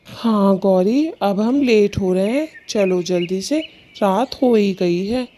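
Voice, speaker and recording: A woman voicing a doting, lazy male thief bird in a children's cartoon, cajoling and drawn-out. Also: medium pitch, warm tone, close to an everyday voice. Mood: lazy, scheming. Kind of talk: children's cartoon story acting.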